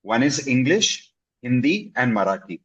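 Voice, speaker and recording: A man's voice: medium pitch, big pitch swings, normal speed, a bit bright, slightly rough, dry recording, highs cut off. A man working as an English tutor, chatting casually and encouragingly, often checking in with "right?" and "okay?". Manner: encouraging, repeats himself for emphasis with "okay?" and "right?". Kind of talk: casual video-call conversation.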